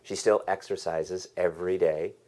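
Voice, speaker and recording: A man's voice: low voice, steady tone, moderate pace, warm tone. A man hosting a podcast, earnestly reading a scripted introduction. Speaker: podcast host.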